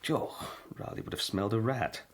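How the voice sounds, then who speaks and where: An actor playing a wry, matey army officer in a radio drama, speaking in a hesitant, exclaiming way. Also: male voice, fairly low pitch, fairly quick, lightly acted. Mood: indignant, wry. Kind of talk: BBC radio drama dialogue.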